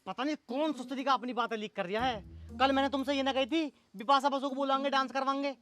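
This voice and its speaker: Man playing a high-voiced wily village fixer. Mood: scheming, persuasive. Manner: flattering, fast-talking hustler. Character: wily village election fixer milking both candidates for money. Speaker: actor in a comedy sketch. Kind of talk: rustic comedy sketch acting.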